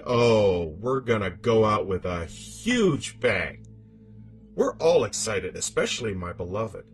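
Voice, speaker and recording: A man voicing a vengeful, demonic scorned wife in a horror story monologue, with theatrical, taunting menace. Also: lowish voice. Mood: taunting, indignant. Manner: theatrical, taunting, swinging between hushed menace and outrage.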